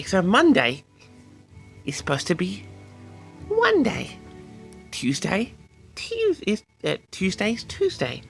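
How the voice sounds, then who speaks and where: A pompous, indignant eccentric played by a man delivers a comic audio-log monologue. The voice is very creaky and lurches theatrically up and down in pitch, with faint music behind it. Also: a bit bright, exaggerated voice. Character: pompous, easily affronted eccentric man keeping an audio diary. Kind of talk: comic rambling monologue to an audience.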